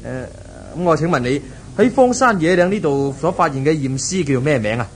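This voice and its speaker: An actor playing a persistent, polite news reporter with a Hong Kong Cantonese accent, pleading and inquisitive, in a 1970s radio drama. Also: male voice, a little high, expressive, a little fast, a little hiss or hum, close to an everyday voice.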